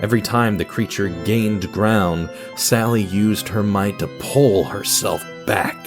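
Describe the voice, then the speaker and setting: A man narrating a scripted story as a dramatic storyteller, with deliberate pauses and direct address to the listener. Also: lowish voice, over music.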